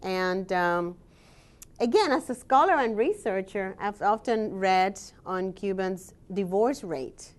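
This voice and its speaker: Woman academic presenting her research; steady, explanatory delivery, pauses on "um.". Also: mid-range voice. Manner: measured and explanatory, with frequent "um" pauses. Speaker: scholar and researcher giving a presentation. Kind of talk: presentation talk with slides.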